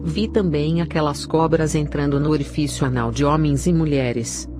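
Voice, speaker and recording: Woman, Brazilian accent, low-pitched, scripted testimony narration, dramatic religious style, clear music bed. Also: normal speed. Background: prominent music.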